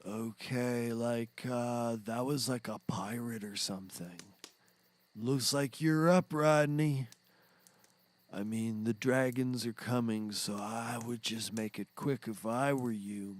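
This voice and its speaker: A man playing a dazed, drugged, paranoid roast guest in a comedy, rambling like a slacker. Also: lowish voice.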